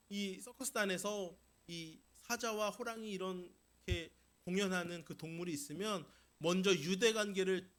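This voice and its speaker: A man preaching a Sunday sermon as a pastor, telling stories and exhorting with emphatic delivery. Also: high voice. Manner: emphatic, rising into forceful declarations then easing into explanation.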